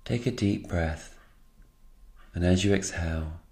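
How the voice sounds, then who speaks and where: A man guiding a meditation in a slow, calm and soothing narration, leaving unhurried pauses between phrases. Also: deep voice. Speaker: guided meditation instructor.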